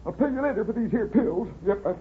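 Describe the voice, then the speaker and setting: An actor playing a worried, humble small-town boathouse owner in a 1930s radio drama, with a rural American accent and a hesitant, stammering, folksy manner. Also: male voice, high-pitched, lively intonation, fast, slight background noise. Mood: humbly grateful. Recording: muffled, tinny 1930s radio recording.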